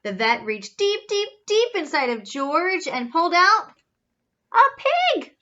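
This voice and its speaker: A woman reads a picture book to children with a high, very lively voice. She goes slowly, asks questions theatrically and marvels at the story. The recording is slightly dull. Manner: theatrical, questioning, gasping with wonder. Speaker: story reader, children's teacher-like host. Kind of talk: animated children's storybook read-aloud.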